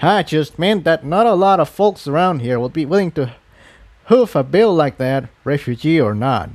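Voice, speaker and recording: A man's voice: on the high side, animated, normal speed, a little mellow, no room sound, pitched above the performer's own voice, natural voice. A man voicing an elderly, plainspoken rustic pony with folksy country phrasing in a fantasy story. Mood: placating, friendly.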